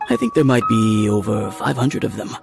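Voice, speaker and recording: A man voicing a wealthy, mild innkeeper in an anime game, polite and earnest, with a gravelly voice. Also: on the low side, normal speed. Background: game music.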